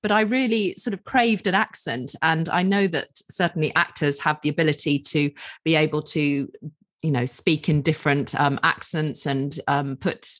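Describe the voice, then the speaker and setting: A woman's voice: deep voice, moderate pace, a bit bright. A middle-aged woman hosting a podcast, asking long, enthusiastic, rambling questions. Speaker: podcast host. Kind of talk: conversational podcast interview.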